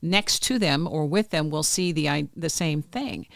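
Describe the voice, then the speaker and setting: Smooth, clear host voice. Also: medium pitch, no room sound, studio quality. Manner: chatty, earnest, quick affirmations. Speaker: podcast host. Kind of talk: casual interview chat.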